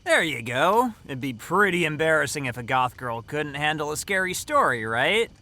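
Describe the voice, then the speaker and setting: Guy acting as cocky teen camp counselor, higher bright voice, dramatic storyteller, teasing. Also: very animated, normal speed. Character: cocky teenage summer camp counselor telling a scary story.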